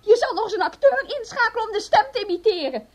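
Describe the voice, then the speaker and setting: A woman's voice: very high voice, expressive, quick pace. An actress playing a bitter, frustrated wife who mockingly taunts her husband, in a theatrical Dutch radio play style. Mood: scornful. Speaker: radio drama actor.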